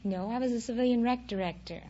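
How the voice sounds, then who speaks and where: A woman's voice: a little high, fast, heavy background noise. A young woman from Utah giving conversational answers as a contestant on a radio quiz show. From Utah, United States. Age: young adult. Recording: muffled vintage 1940s radio recording.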